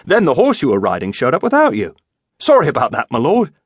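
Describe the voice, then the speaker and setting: A man's voice: mid-range voice, wildly swooping pitch, quick pace, husky, no room sound. A man voicing an eager, chatty young squire who rambles anxiously and deferentially to his lord in an audiobook.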